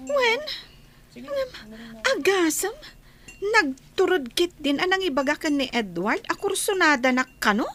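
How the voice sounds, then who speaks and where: A woman with an Ilocano accent acting a lively, defiant, lovestruck teenage schoolgirl in a radio comedy drama. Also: extremely high pitch, measured pace, pauses often, full character voice. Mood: disdainful.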